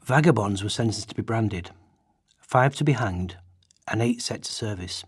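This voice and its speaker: A man's voice: fairly low pitch, animated, moderate pace, husky. A man giving declamatory, emphatic readings of quoted prose for a radio play. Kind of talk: dramatic read quotations in a radio play.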